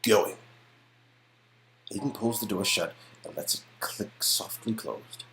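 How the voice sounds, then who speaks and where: A man reading expressive second-person narration for an interactive story game. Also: fairly low pitch, normal speed, gravelly, a little hiss or hum. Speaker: narrator and player character reader.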